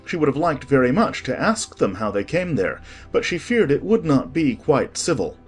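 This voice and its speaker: A man's voice: mid-range voice, big pitch swings, normal speed, soft background music. A man reading scripted storybook narration expressively.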